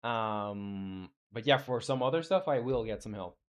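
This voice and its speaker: A young man from Chile, a native Spanish speaker with a Chilean accent, chatting casually on a live game-dev stream and reading viewer comments with halting restarts and fillers. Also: lowish voice, lively intonation.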